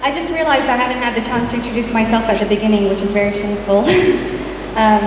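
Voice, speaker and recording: Panel moderator: woman, polite, pausing often, recorded in a reverberant hall with clear background noise. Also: a little high, fairly even intonation. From Iraq. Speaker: event moderator, organizer. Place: large hall at public panel event. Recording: distant, echoey, noisy hall.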